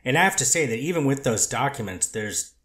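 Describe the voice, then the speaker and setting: A man, a treatment counselor, testifying as a witness in a courtroom, measured and guarded, speaking in institutional terms. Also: mid-range voice, lively intonation, fairly bright tone. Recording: echoey courtroom audio.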